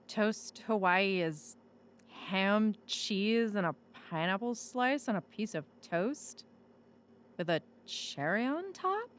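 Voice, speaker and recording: A woman's voice: medium pitch, lively intonation, slow, slight background noise. An actress playing a blunt, flippant woman on the run in an audio drama, with a breezy, sardonic, casual delivery. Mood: curious, casual.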